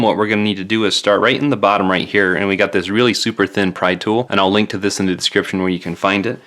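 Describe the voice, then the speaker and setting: A man's voice: on the low side, a bit bright. A man giving casual, plain-spoken step-by-step repair instructions as a tutorial host.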